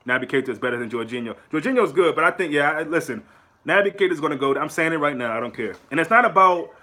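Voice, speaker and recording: An American man hosting his own fan channel, talking in a casual, animated way to his viewers, often repeating words and pushing up into rhetorical questions. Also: a little high, quick pace. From United States. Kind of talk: casual solo commentary to camera.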